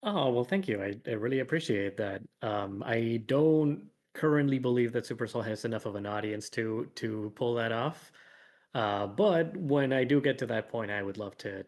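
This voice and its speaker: A man's voice: lowish voice, moderate pace. A man talking casually as a guest creator in an interview, thinking aloud and rambling a little as he explains. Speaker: guest comic author and animation artist. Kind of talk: casual livestream interview talk.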